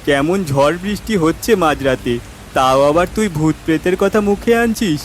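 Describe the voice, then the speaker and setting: Guy doing curious village boy in a cartoon, boyish, bit high, music behind him. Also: expressive, normal speed, lightly acted. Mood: wary, curious. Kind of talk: cartoon voice acting.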